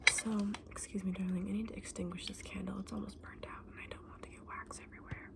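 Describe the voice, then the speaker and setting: A woman playing a friendly, knowledgeable fantasy egg saleswoman in an ASMR roleplay, speaking softly and often in a breathy whisper, gently explaining things to a customer. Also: medium pitch, little pitch movement, fast talker, close to an everyday voice. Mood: informative, gentle.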